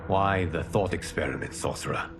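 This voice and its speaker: Grave game hero: low voice, solemn measured delivery, prominent music underneath. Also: male voice. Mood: questioning. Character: grave, calm male protector of Earth, former time keeper. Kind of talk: scripted dramatic game dialogue.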